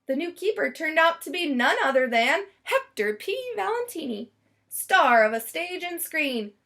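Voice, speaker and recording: A woman reading a children's picture book aloud as storyteller, expressively and with deliberate dramatic emphasis. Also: sits high, sing-song, a bit bright.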